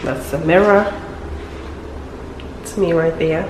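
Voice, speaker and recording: A young woman with a raspy voice from being sick, chatting casually through a tour of her new apartment. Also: low-pitched, slow. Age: young adult. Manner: chatty, rambling with many fillers. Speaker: vlogger. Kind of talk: casual home tour vlog. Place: empty apartment, indoors. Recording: echoey empty apartment with hum.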